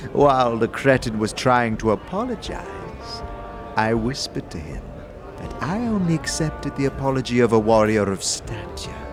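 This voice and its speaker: A man's voice: mid-range voice, natural voice. A man voicing a gleeful, haughty and vindictive elven noblewoman in a fantasy audio drama, with a theatrical, disdainful manner. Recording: music behind the voice.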